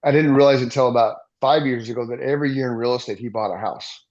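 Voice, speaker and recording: Man in his 50s, chatty co-host, mid-pitched voice, a little dull-sounding. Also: fast, darkish tone. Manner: upbeat, rambling, filler-heavy. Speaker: podcast co-host, realtor. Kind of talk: casual interview chat.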